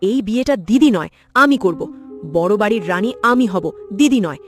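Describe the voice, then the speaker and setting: A woman's voice: a little high, expressive, brisk, close to an everyday voice. A woman voicing a jealous, greedy young village girl in a cartoon, in a dramatic, emphatic manner. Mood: scheming, resentful. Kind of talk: cartoon voice acting. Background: background music.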